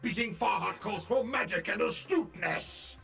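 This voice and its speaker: A commanding cartoon demon. The voice is heavily gravelled and forceful, cunning and scornful, with elaborate, menacing phrasing. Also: male voice, high-pitched, animated, moderate pace, faint music behind, full character voice. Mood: scornful, urgent. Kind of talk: animated villain acting.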